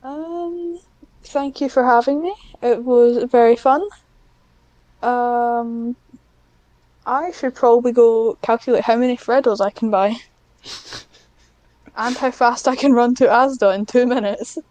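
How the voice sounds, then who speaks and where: A young British woman with a fairly high voice, recorded close and dry. She chats casually as a podcast guest, rambling through her stories in a giggly manner. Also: fairly even intonation, slow, long pauses, darkish tone, no room sound, lo-fi sound. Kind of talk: casual chat.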